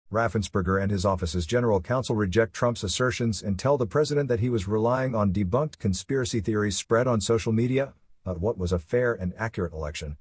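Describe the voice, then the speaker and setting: A man reading a scripted news report in a formal, measured manner. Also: medium pitch, a little mellow, husky, no room sound, clean studio sound. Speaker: news reader.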